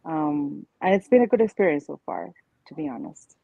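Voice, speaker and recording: A woman's voice: sits low, expressive, moderate pace, creaky, slightly rough, no echo. A woman whose first language is Spanish, speaking outspokenly in a casual panel discussion over a video call, often restarting her phrases. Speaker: panelist, founder of a Spanish-language online community. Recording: dull lo-fi call audio.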